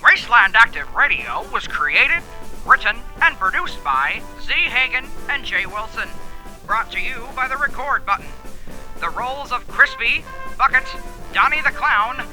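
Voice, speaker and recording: Bright, very high-voiced male announcer. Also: expressive, faint music behind, poor audio. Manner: clear, deliberate announcing; spells things out. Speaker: credits announcer. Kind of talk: scripted credits reading.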